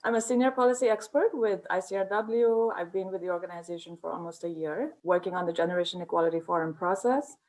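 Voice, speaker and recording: A woman from Pakistan speaks earnestly and in measured phrases. She is a policy expert describing her views, with a few fillers along the way. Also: lowish voice, a little mellow, rough recording. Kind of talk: conversational self-introduction.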